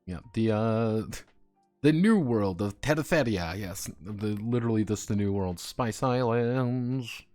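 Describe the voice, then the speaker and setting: A male streamer with a fairly low voice talks casually as he works on his game. He drifts between reading text aloud and rambling, chuckling asides, with soft music playing behind him. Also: slow. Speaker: live streamer and tabletop game designer.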